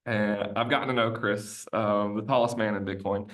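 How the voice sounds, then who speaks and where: A man's voice: on the low side, fairly even intonation, moderate pace, fairly bright tone. A man, a podcast guest, chatting casually and telling a long rambling story, often looping back and restarting his sentences. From United States. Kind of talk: casual conversational interview.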